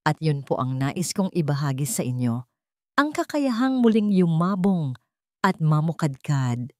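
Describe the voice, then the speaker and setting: A woman's voice: sits low, big pitch swings, measured pace, darkish tone, no echo, clean studio sound. A woman narrator voicing a resilient grown daughter in a story, speaking in a solemn, heartfelt, respectful way. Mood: solemn, resolute. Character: resilient grown daughter, earnest, tender and grateful.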